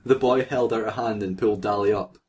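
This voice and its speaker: A man's voice: fairly low pitch, brisk, a little mellow. A man reading a story aloud as co-host in a casual, mocking way, often breaking off to laugh, swear and comment.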